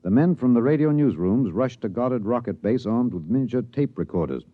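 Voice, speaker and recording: An actor playing an earnest, somber news reporter, narrating solemnly in a 1950s radio drama. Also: male voice, mid-range voice, expressive, fairly quick, dry recording, lightly acted. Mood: somber, wistful. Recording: dull 1950s radio recording.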